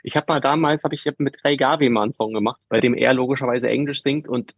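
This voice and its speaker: Fast-talking gravelly man, chatty. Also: medium pitch, steady tone, dry recording, highs cut off. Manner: chatty, laughs while telling stories. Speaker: musician guest. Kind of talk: relaxed interview chat.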